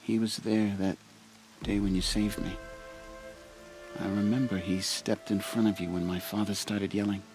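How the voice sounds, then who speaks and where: Man acting a gentle, earnest young tribesman over music. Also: on the low side, little pitch movement, normal speed, close to an everyday voice, rough recording. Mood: sincere, subdued. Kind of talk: video game cutscene acting.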